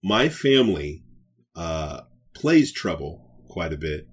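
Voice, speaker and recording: A man bantering casually as a podcast co-host. He takes his time, but his pitch swings widely. The voice is husky, and his manner is playful and enthusiastic. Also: sing-song, slow. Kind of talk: casual pop culture banter.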